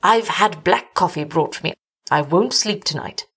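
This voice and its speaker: A woman's voice: on the low side, moderate pace, fairly bright tone, slightly rough. A woman playing an anxious, devoted husband, a doctor, with earnest, emotional delivery in a gothic story. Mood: determined, anxious. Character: Doctor Gahira, anxious devoted husband, gentle then anguished.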